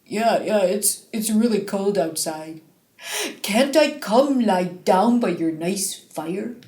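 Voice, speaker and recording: A big, courteous brown bear from a fairy tale, acted out by a female storyteller. The words come slowly, in gentle, pleading phrases. The room adds a clear echo. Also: medium pitch, animated. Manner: polite, slow, drawn-out pleading. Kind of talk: storybook character voice in live storytelling. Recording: roomy, echoing space.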